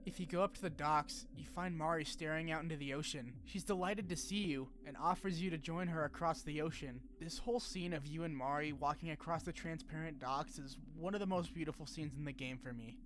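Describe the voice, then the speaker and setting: A man's voice: on the high side, a little fast, background noise. A man narrating a scripted but casual game review, with informal wry asides and some swearing. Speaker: video essay narrator.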